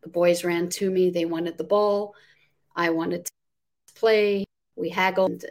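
A woman's voice: fairly low pitch, steady tone, slow pace, darkish tone. A woman from Jordan, in her forties, guest on a remote interview, earnestly explaining her work with plenty of fillers. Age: 40s. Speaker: guest, nonprofit founder. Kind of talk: casual interview chat.

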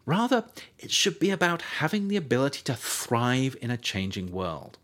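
A man reading his own nonfiction book aloud as an audiobook, in a measured, steady delivery. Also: medium pitch. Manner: measured, animated intonation. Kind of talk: audiobook narration.

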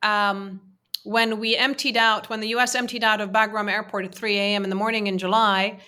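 A woman's voice: medium pitch, level tone, brisk, pushed and bright. A woman whose first language is Persian, answering interview questions earnestly, with many fillers and restarts. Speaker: interviewee, women's peace advocate.

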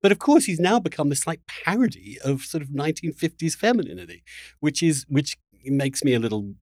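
A man hosting a conversational interview, offering opinions in a halting way that restarts and then turns emphatic. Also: fairly high pitch, expressive, dry recording, clean studio sound. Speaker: podcast host.

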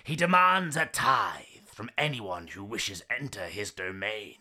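An actor playing a crumbling old stone gargoyle in an audio play, grand and declamatory, boastful and grumbling. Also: male voice, on the low side, lively intonation, measured pace, pushed and bright, full character voice. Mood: grave, solemn. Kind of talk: theatrical audio play acting.

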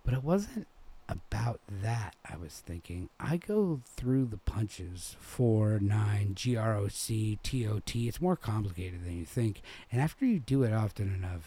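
Low, mellow, husky man narrating. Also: lively intonation, measured pace. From New England, United States. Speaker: reader. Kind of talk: expressive literary short story narration.

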